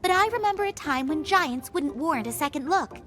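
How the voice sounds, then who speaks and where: A woman's voice: sits high, animated, brisk, fairly bright tone. A woman voicing a plucky, bossy but kind-hearted little innkeeper in a fantasy video game. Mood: welcoming. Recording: faint game music behind.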